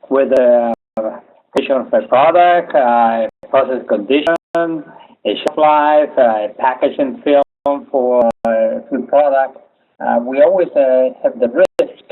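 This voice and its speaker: Halting man lecturing over tinny audio. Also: mid-range voice. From Chile. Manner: halting, with frequent "uh" fillers. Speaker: engineering lecturer. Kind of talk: webinar lecture. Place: home office.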